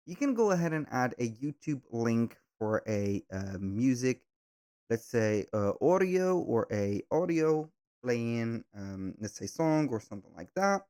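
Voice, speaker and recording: A man hosting a step-by-step software tutorial, speaking in halting, repetitive phrases with slightly non-native grammar. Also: medium pitch. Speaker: tutorial host.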